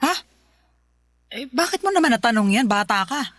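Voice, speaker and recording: A woman's voice: on the high side, expressive, normal speed, a bit bright, dry recording. An actress playing a long-suffering, forgiving Filipino wife and mother in a radio drama, pleading and placating. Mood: gentle, reassuring.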